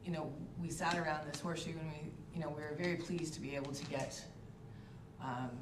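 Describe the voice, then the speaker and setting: A woman councillor with a hoarse voice from a cold, speaking haltingly and repeating herself in a council meeting. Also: deep voice, fairly even intonation. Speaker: town councillor. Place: echoey council chamber. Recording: echoey room, distant mic, background noise.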